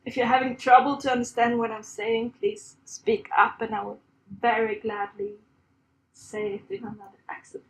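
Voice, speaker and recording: A woman's voice: on the high side, little pitch movement, moderate pace, poor audio. A woman in her thirties from Sweden with a Swedish accent, giving a chatty, self-deprecating conference talk with frequent laughs. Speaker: conference speaker. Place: conference room on a stage.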